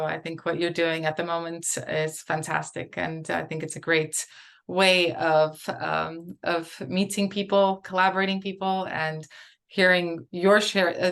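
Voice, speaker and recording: Woman guest in her 40s, kinda low voice, lots of ums and restarts as she talks. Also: creaky, fairly bright tone. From Portugal. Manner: hesitant, many fillers and restarts. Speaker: interview guest. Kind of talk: casual interview conversation.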